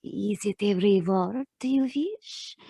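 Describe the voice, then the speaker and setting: A woman's voice: mid-range voice, slow pace, creaky, very noisy. A woman playing a melodramatic, exotic femme fatale with formal, stilted phrasing in a noir audio drama. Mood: guarded.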